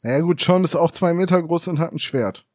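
A man's voice: fairly high pitch, a little fast, a little mellow, no echo, studio quality. A man co-hosting a podcast, asking questions and thinking aloud in casual chat. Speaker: podcast host. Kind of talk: casual chat about an audio drama.

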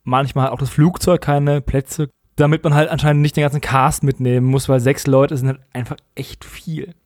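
A man's voice: medium pitch, little pitch movement, moderate pace, darkish tone, no room sound, studio quality. A man co-hosting a podcast, recapping stories in casual, wry, colloquial talk. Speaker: podcast co-host. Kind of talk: casual chat, recapping an audio drama.

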